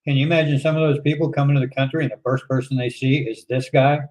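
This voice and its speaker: A man's voice: mid-range voice, steady tone, fast talker, darkish tone, poor audio. Sarcastic exasperated man commenting. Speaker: reaction commentator.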